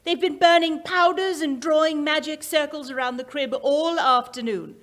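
A woman's voice: high voice, normal speed, a bit bright. A woman playing a haughty, disapproving lady of an aristocratic household in a radio drama, prim and critical. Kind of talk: old-time radio drama acting. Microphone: stand microphone.

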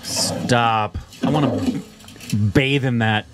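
A man's voice: medium pitch, steady tone, slow. A middle-aged American man chatting casually and jokingly as a reaction show co-host, close on a studio mic. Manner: joking, playful. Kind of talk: casual chat reacting to a video. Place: small studio, indoors. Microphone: close studio mic on boom arm.

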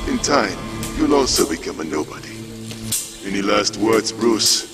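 An actor playing a cold, menacing masked assassin, speaking deliberately in a comic-book dub. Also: male voice, fairly low pitch, lively intonation. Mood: cold menace. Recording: very loud music behind. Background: loud dramatic music.

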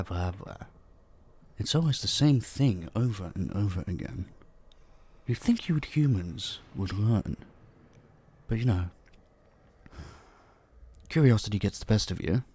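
A man voicing a possessive, centuries-old vampire in an intimate roleplay drama, slow and condescending, with a husky, breathy voice that drops into whispers. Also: on the low side, a little mellow, heavily acted. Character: possessive centuries-old male vampire, dominant, menacing captor.

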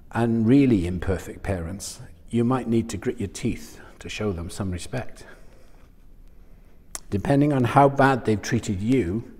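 A man's voice: lowish voice, warm tone, slightly rough, big-room sound. A man gives a conversational sermon on a lapel mic, often asking his listeners questions. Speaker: church speaker. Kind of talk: sermon talk. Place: small church studio room in front of a screen.

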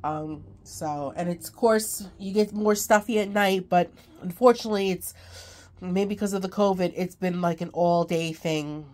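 Woman, low voice, congested, sick, casual rambling chat, phone mic at home. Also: moderate pace, a little mellow. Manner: rambling, many ums, laughs between lines. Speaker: craft vlogger.

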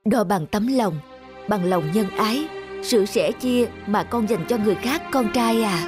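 A patient, kindly market mother from a cartoon voiced by a woman. She speaks as a caring parent of modest means, with loud music behind. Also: medium pitch, unhurried, lightly acted. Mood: tender, wise. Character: poor, kind, patient mother selling bread at market. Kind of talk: cartoon voice acting.

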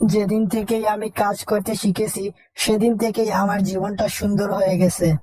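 A woman's voice: medium pitch, level tone, moderate pace, mellow, lightly acted. A woman voicing a contented, earnest young farm boy in a storybook tale.

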